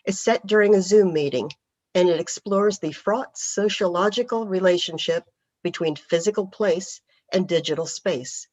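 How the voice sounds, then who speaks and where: A woman host reads a brisk introduction from notes, her voice on the low side. The sound is dull and lo-fi. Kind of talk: scripted introduction.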